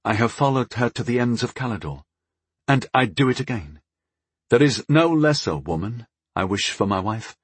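A man voicing an earnest, courteous country knight with rough edges in a fantasy audiobook, dignified and formal. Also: medium pitch, expressive, normal speed, no echo. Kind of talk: audiobook character voice.

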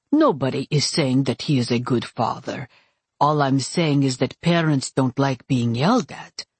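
Woman playing male priest of a dark god, audiobook, deep husky register, wry, soothing, dryly humorous, placating. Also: no echo, heavily acted. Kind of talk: audiobook character acting.

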